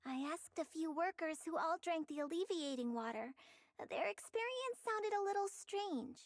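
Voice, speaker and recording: A woman voicing a bright, eager young female investigator who is curious and earnest, quick to worry, in a video game. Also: extremely high pitch, animated, no echo, clean studio sound. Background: game music.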